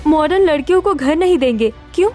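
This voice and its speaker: A woman playing a spirited, scheming young single woman in a story drama, with an expressive manner. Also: high-pitched, quick pace, soft background music. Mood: indignant. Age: young adult. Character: spirited, scheming young modern single women seeking housing. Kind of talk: acted Hindi story dialogue.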